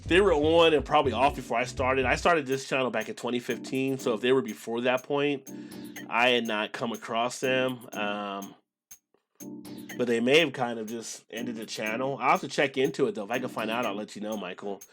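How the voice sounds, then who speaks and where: Low-voiced American man chatting on a live stream. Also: normal speed, fairly bright tone, soft background music. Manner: rambling, conversational. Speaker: beer channel live stream host. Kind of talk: casual live stream chat with viewers.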